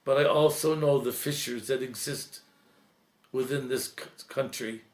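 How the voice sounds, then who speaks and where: A man, a priest, giving an earnest, reflective talk, slowing and softening at emotional points. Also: medium pitch, steady tone, reverberant. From Lebanese heritage.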